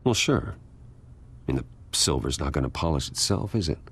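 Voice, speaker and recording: A brooding, wealthy vigilante for a dramatic video game. The voice is exceptionally deep, earnest and understated, resolute under a weight of guilt. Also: male voice, sing-song, a little fast, fairly bright tone, husky, full character voice. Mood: wry.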